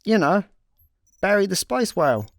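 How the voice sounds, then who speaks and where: A man's voice: sits high, very animated, normal speed, creaky, dry recording, clean studio sound, heavily acted. A man playing an easygoing, slightly more sensible slacker in a comedy sci-fi radio play, casual and hesitant. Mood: sheepish.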